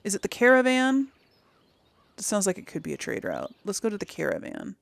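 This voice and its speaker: A woman's voice: mid-range voice, huge pitch swings, fairly quick, vocal fry, no room sound. A woman giving casual let's play commentary, thinking aloud as she goes. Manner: thinking aloud, reading quest text aloud.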